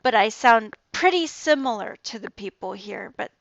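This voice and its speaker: A woman's voice: a little high, animated, normal speed, vocal fry, no echo, highs cut off. A woman with an Ontario Canadian accent chatting casually while streaming a game. She laughs often and trails off mid-sentence.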